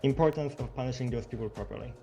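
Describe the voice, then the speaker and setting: Casting: male roleplayer as police unit member, quick informal announcement, loud music underneath. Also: mid-range voice, creaky. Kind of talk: improvised in-character announcement.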